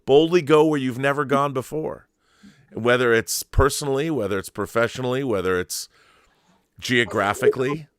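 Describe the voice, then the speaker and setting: A man's voice: mid-range voice, moderate pace, smooth, no room sound. A man chatting casually and enthusiastically as an interviewer, earnest and emphatic, often repeating words as he restarts his sentences.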